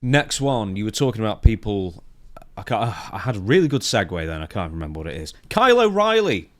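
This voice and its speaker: A man's voice: fairly low pitch, very animated, brisk, no room sound. A man hosting a podcast, reading out news items and chatting about them in a wry, conversational way. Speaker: podcast host.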